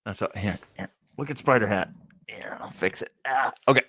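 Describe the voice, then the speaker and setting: A man hosting a live-streamed show, chatting casually and jokily with viewers, rambling along and quick to chuckle. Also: fairly low pitch, bright, forceful tone, slight background noise.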